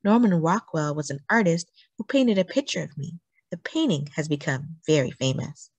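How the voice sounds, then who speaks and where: A woman reads a story aloud for children in a slightly low, husky voice. Her intonation is animated and expressive, with deliberate emphasis on phrases. The sound is dry and close, with little room echo. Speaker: children's book reader.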